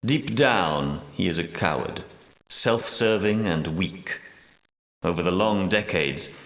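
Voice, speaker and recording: An actor playing a solemn, commanding medieval knight and prophetic leader, speaking in grave, formal, archaic oratory for a video game. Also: male voice, low voice, a bit bright, heavily acted. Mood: storytelling, knowing. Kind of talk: theatrical video game character dialogue. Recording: roomy echo, slightly distant from mic.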